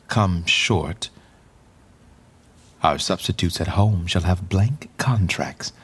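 A man's voice: lowish voice, slightly rough, full character voice. A man playing a vain, callous young king in a Shakespearean radio drama, sneering and mimicking theatrically in verse. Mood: brisk, haughty. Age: young adult.

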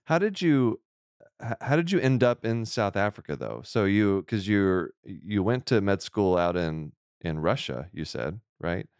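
Smooth, low-voiced man hosting a chat. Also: expressive, unhurried, darkish tone, no room sound, studio quality. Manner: chatty and joking, many restarts. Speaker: podcast host. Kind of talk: casual interview chat.

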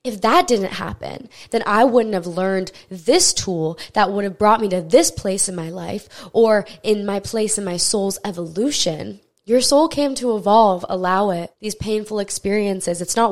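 A woman's voice: mid-range voice, a little slow, few pauses. A young woman with a stuffy nose hosting a solo podcast, talking candidly and earnestly, swearing casually as she goes. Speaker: podcast host.

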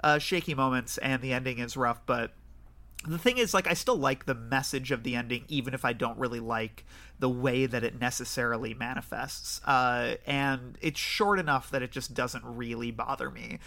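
A man's voice: mid-range voice, normal speed, creaky, sharp tone. A man hosting a casual film discussion podcast with an enthusiastic manner. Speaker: podcast host.

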